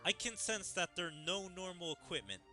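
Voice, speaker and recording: A man's voice: sits high, very animated, moderate pace, over music. A man voicing an easygoing, thoughtful young leader of a fantasy town in an anime game, explaining things in a friendly way. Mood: pondering.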